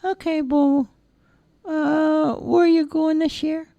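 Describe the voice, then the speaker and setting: A giggly simple-minded big lad. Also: male voice, level tone, slow, creaky, warm tone, dry recording, dull audio, full character voice. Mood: cheerful childlike. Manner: high childlike giggly put-on voice. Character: simple big innocent young man, cheerful.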